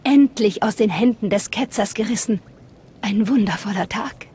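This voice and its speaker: A woman's voice: normal speed, dark tone, breathy edge. An actress playing a zealous, reverent female cultist with a bloodthirsty streak in a video game. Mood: awed, elated. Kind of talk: video game voice acting in German. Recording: low rumble and rain behind.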